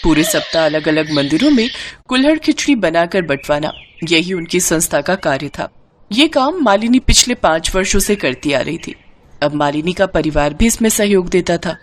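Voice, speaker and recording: A woman tells a moral tale as a scripted narration. Her delivery is dramatic and very animated in pitch, over a faint noise in the background. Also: moderate pace. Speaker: story narrator.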